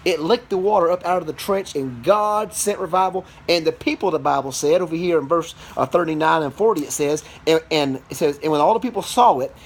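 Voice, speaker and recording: A man, a preacher and father, telling an improvised Bible story to a child in an animated, interactive way. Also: on the high side, brisk, few pauses, husky. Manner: animated, interactive, checks on listener with questions. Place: small office in a house. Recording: noisy amateur home recording.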